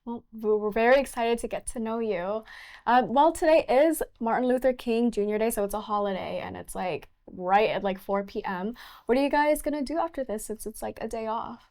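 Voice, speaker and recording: Young female host, slightly high pitch, casual and chatty. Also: fairly quick, a little mellow. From United States. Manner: chatty, fillers like "like". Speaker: talk show host. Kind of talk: hosting an interview.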